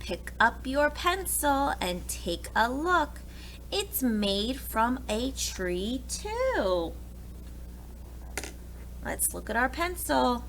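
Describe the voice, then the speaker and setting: A woman's voice: high-pitched, very animated, very slow, fairly bright tone, slight background noise. A woman reading a picture book aloud to preschoolers, expressively and speaking directly to the young listeners. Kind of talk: children's picture book read-aloud with asides.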